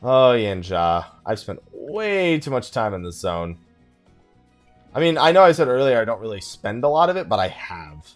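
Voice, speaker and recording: A man giving casual let's play commentary, rambling and thinking out loud with mild exasperation. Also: medium pitch, sing-song, moderate pace. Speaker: gaming video host. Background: game music.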